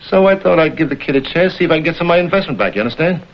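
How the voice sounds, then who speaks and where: An actor playing a brisk, worried amusement park owner in a vintage screen drama, brusque and businesslike. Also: male voice, a little high, expressive. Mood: matter-of-fact. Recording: dull, noisy vintage TV soundtrack.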